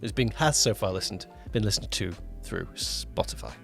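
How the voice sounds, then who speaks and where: A man's voice: fairly low pitch, expressive, moderate pace, gravelly, fairly bright tone, faint music behind. A man co-hosting a podcast as its producer, chatting casually and explaining things with frequent backtracking. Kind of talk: casual chat, project update.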